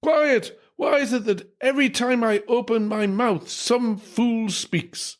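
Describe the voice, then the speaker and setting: A man's voice: mid-range voice, moderate pace, natural voice. A man doing an Irish priest headmaster. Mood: furious shouting. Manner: stammering then shouting in rage. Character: flustered Irish priest headmaster, stern and easily rattled. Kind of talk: storybook reading.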